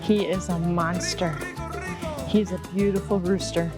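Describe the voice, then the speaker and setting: A woman's voice: lowish voice, steady tone, a little slow, over loud music. A woman in her fifties chatting casually as she walks around a marina, filming her own vlog. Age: 50s. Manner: chatty, laughs easily. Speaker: vlogger touring a boat yard. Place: outdoors at a marina.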